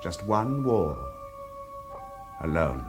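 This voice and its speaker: A man narrating an audio drama in a theatrical, expressive way, telling the story vividly in the present tense. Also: low voice, very slow. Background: loud music.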